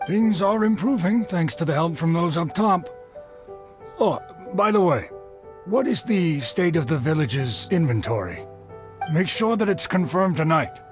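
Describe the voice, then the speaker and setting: An authoritative caravan boss in a game. His voice sits a bit high for a man and moves in lively steps, brisk and businesslike, with faint music behind. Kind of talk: video game character dialogue.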